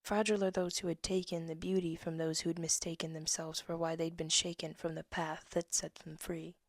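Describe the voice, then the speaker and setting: A woman, reading her old poems and essay aloud with casual asides, soft-spoken and slipping into whispers. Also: sits low, level tone, a little fast, no echo. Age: 20s. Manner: soft-spoken, drifts into whispers, laughs at herself. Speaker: poet reading her own work.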